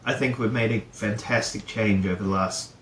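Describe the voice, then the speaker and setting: A man's voice: lowish voice, fairly even intonation, moderate pace, fairly bright tone, reverberant, slight background noise. A middle-aged man from New Zealand, a guest talking casually about his career, with many fillers and restarts. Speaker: guest, head of procurement. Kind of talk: casual interview chat.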